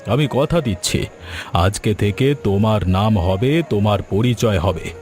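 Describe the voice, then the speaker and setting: Low-voiced, fatherly gentleman acted by a man. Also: a little slow, faint music behind, natural voice. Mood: earnest, reassuring. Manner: earnest, fatherly. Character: kindly, protective middle-class gentleman who adopts a village girl. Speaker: cartoon character voice. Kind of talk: dramatic cartoon dialogue.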